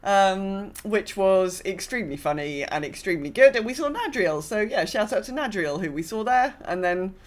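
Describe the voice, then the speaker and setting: A woman's voice: mid-range voice, animated, normal speed, hardly any pauses. A woman with a British accent chatting casually as a podcast co-host, telling anecdotes and often repeating and correcting herself. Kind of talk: casual chat, personal anecdotes.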